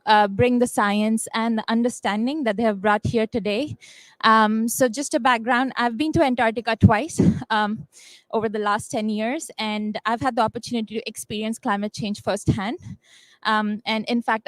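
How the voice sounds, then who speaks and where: A woman's voice: a little high, dry recording, poor audio. A young woman from India speaking on a panel, hesitant, with many ums and uhs. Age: young adult. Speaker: youth panelist. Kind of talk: panel dialogue.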